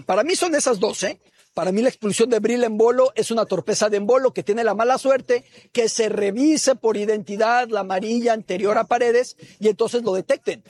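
Husky high-voiced football analyst, measured. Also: male voice, darkish tone. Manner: measured, deliberate.

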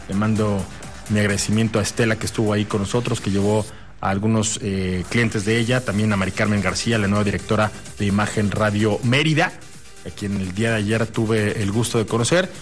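A man's voice: fairly low pitch, fairly bright tone. A man with a Mexican accent hosting a radio show in a courteous, chatty, conversational way. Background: music bed.

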